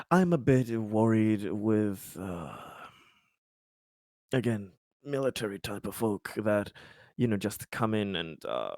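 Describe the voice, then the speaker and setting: A man's voice: fairly low pitch, darkish tone, dry recording, studio quality, exaggerated voice. A man playing a worried colonist in a tabletop roleplay, speaking hesitantly and politely with stammers. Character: worried colonist uneasy about armed soldiers, eager to smooth things over. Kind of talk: in-character improvised tabletop roleplay.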